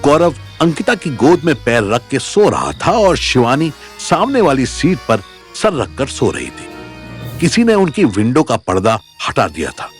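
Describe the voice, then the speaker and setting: A male narrator tells a scripted dramatic story. His mid-pitched voice moves with lively intonation, over a light music bed. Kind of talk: scripted audio story narration in Hindi with English words.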